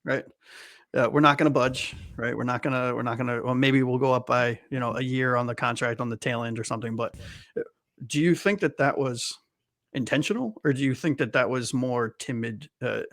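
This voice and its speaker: A man's voice: medium pitch, brisk, a bit bright, no room sound, studio quality. A man from Miami in his late thirties, co-hosting a casual podcast chat in a chatty, rambling way with frequent "right?". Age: late 30s.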